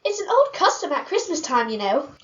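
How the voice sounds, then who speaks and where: A woman's voice: high-pitched, sing-song, a little fast. A woman playing a polite, plucky young street carol singer in a vintage radio drama. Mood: polite, cheery. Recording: dull, very noisy vintage radio recording.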